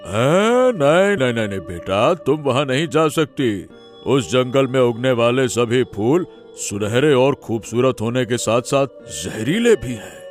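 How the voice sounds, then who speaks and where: A man's voice: medium pitch, animated, a little slow, natural voice. Guy as village dad in a cartoon story, protective, mid voice, takes his time, bit of music. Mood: alarmed, warning. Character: protective, respected village headman, father of a girl. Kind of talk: Hindi animated moral story acting.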